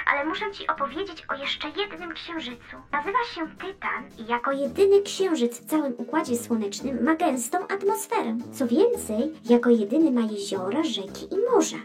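A woman voicing a cheerful, curious, gently teasing space probe in a children's educational audio drama. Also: high voice, big pitch swings, moderate pace, few pauses, soft background music. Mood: excited explaining. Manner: playful, clear storytelling explanation.

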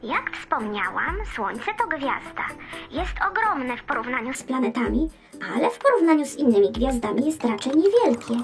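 A woman's voice: sits high, sing-song, moderate pace. A woman voicing an intelligent, friendly female space probe, explaining simply in a scripted children's science lesson. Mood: instructive. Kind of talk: scripted educational narration. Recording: music playing behind the voice.

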